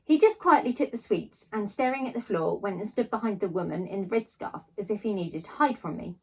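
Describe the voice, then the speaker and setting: A woman reading a children's novel aloud, narrating in a child's first person with expressive storytelling emphasis. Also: mid-range voice, fairly quick, breathy edge, rough recording. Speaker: storybook reader.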